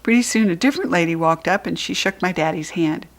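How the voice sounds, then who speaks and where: Woman, storybook reader, read-aloud, expressive, clear. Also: on the low side, fast talker. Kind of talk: children's book read-aloud.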